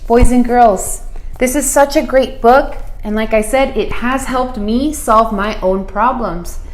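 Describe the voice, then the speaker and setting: A woman with a fairly high voice reads aloud to children in a roomy, echoing space. She goes slowly and deliberately, with expression, like a principal sharing a picture book with young pupils. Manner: expressive, deliberate, asks listeners questions. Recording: roomy, echoey room sound.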